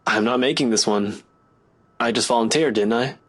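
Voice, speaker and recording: An actor playing a gruff, determined police detective in a video game, blunt, guarded and self-assured. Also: male voice, medium pitch, moderate pace, natural voice. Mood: blunt, firm.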